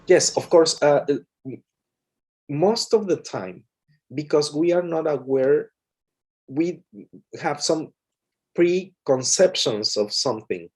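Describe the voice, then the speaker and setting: A man's voice: a little high, lively intonation, takes it slowly, pauses often, darkish tone, husky. A man from Mexico, a lecturer, talking with a colleague in a hesitant way full of fillers and restarts. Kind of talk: conversation with a colleague.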